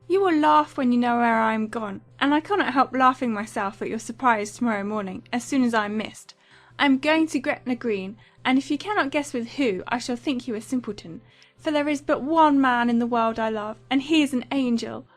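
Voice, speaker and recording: A woman plays a lovestruck teenage girl in an audiobook drama, her voice high and girlish. She is breezy, gleeful and heedless, with soft music behind her. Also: lightly acted.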